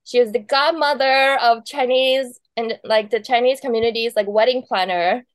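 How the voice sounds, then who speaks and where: A woman's voice: fairly high pitch, steady tone, normal speed, dry recording. A woman from Taiwan, a wedding planner, chatting casually as an interview guest, laughing often between thoughts.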